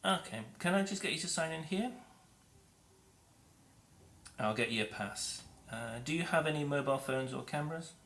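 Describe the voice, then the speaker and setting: An actor playing a polite, fussy office receptionist who hesitates with uhs. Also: male voice, fairly high pitch, expressive, normal speed, long pauses, fairly bright tone. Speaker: council receptionist. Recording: echoey room with faint noise.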